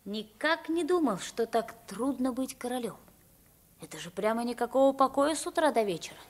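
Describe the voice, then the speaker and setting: A woman's voice: fairly high pitch, moderate pace. An actress playing a naive, plain-spoken poor boy taken for a king, in an old radio drama. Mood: puzzled, naive. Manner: boyish, naive, expressive. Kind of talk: Soviet 1950s radio play acting.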